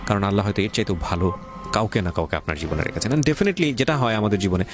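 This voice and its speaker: A man's voice: on the low side, expressive, fast. A man with a Bangladeshi accent hosting a radio show, giving emphatic moral advice, repeating his points and slipping in English phrases.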